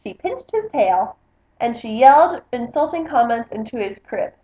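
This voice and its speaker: A woman's voice: fairly high pitch, animated, normal speed, mellow. A woman book vlogger reading a picture book aloud in a casual, chatty style. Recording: slightly dull, roomy home recording.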